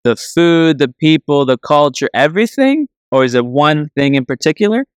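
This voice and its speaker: Young male podcast host, mid-pitched and clean-voiced, casual and exclamatory. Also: expressive, normal speed, clean tone, no echo, studio quality. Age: young adult. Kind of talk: upbeat casual interview.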